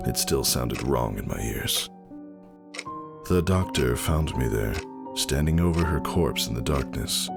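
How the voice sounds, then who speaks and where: A man narrating a dramatic first-person story in a measured, somber manner. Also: very deep voice, creaky.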